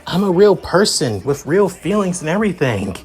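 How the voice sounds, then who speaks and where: A man's voice: on the high side, expressive, normal speed, close to an everyday voice. A man voicing an earnest teenage boy hero student, sincere and easily flustered, in an amateur anime story. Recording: music behind the voice.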